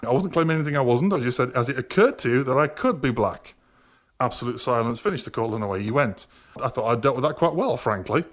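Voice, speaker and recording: A man's voice: medium pitch, lively intonation, fast. A man with a Northern English accent, co-hosting a podcast and telling comic anecdotes in a wry, sarcastic way. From northern England. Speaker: podcast co-host. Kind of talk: casual comic anecdote chat.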